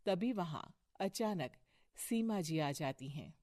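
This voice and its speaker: A woman narrating a cartoon moral story in a steady storytelling voice. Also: lowish voice, slow, dry recording, clean studio sound.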